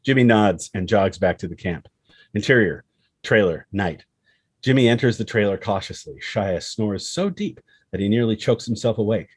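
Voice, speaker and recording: A man narrates screenplay action and scene headings as scripted narration. His voice is lowish and rough-edged, husky, and recorded very dry. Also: moderate pace, dry recording. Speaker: stage-direction narrator.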